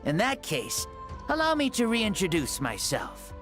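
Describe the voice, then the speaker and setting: A revived ancient fire god, teasing, wry, lively melodic delivery, faint game music behind. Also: male voice, sits high, a little slow, heavily acted. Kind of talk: video game voice acting.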